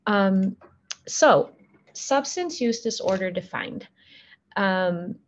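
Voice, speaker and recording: A woman in her thirties whose first language is Arabic, earnestly giving a talk on addiction over a video call, often pausing on "um". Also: medium pitch, lively intonation. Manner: earnest, with frequent um fillers. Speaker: guest speaker on addiction. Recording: slightly muffled video call audio.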